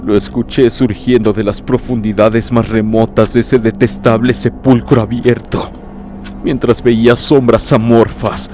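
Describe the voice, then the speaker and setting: A man narrating a horror story in the first person, in a grave, literary, dramatic manner with deliberate phrasing. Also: mid-range voice, level tone, a little mellow. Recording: prominent music behind the voice.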